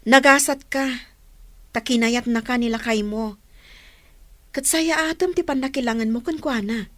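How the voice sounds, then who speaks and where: A woman with an Ilocano accent playing a scornful, sharp-tongued rival in a radio drama, scolding and taunting. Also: a little high, unhurried, no echo.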